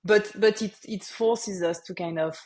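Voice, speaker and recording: A woman in her forties with a French accent, a marketing executive talking through her career in a casual podcast interview, pausing often and restarting her sentences. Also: mid-range voice, a little mellow. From France. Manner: thoughtful, lots of restarts and small laughs.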